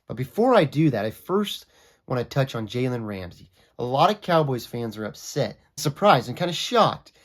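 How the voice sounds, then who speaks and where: A young man with a slightly raspy voice from a cold, giving opinionated, conversational football commentary as a regular video host. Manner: opinionated, conversational, animated pitch swings. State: sick, raspy voice. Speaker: sports vlogger. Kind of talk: casual sports commentary.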